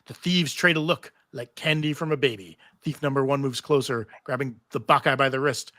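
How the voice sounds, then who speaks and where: A man's voice: medium pitch, fairly quick, pushed and bright, no room sound, clean studio sound. A man reading TV script stage directions aloud at a live table read, theatrical with wry asides. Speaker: table read narrator and panel host. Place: live convention panel.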